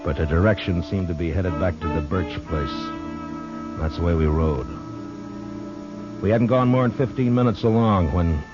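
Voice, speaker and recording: Western radio marshal: deep, clipped, grim American delivery, muffled old recording. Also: male voice. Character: stern, weary frontier marshal in the American West. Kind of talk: 1950s radio western acting and first-person narration.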